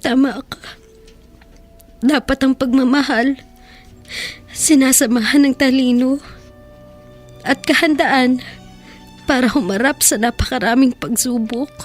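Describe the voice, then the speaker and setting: A woman playing a vulnerable, earnest young woman in love in a radio drama, with a soft, pleading delivery. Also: sits high, a little slow, long pauses. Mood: hopeful. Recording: music bed behind voice.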